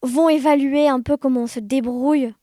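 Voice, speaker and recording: High-pitched young woman, expressive. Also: normal speed, dry recording, clean studio sound. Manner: animated, expressive, uses sound words. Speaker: podcast guest. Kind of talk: casual conversational storytelling.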